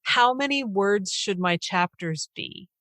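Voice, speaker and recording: A woman's voice: mid-range voice, expressive, slow, fairly bright tone, no echo. A woman hosting a podcast, talking casually and conversationally with a guest. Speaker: podcast host and interviewer.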